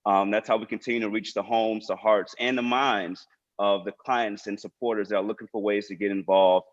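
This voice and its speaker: A man's voice: on the low side, steady tone, brisk. A man speaking as a nonprofit director, giving informal prepared remarks to an online panel over a video call. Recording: dull, lo-fi video call audio.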